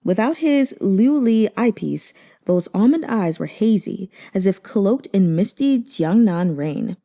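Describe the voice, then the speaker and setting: Woman, audiobook narrator, medium pitch, mellow timbre, expressive scripted fiction reading. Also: a little slow. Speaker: audiobook reader.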